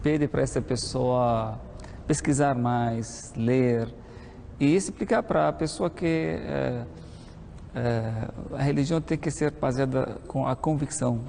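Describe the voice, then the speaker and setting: A Brazilian man calmly explaining a process, with hesitant fillers. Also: mid-range voice, takes it slowly, creaky, slight background noise. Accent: Brazilian Portuguese. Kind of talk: explanatory talk.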